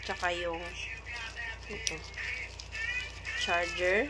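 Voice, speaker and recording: A woman's voice: on the low side, fairly even intonation, drawn-out words, very noisy. A Filipino woman chatting casually in an unboxing vlog, thinking aloud and mixing in English words. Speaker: vlogger.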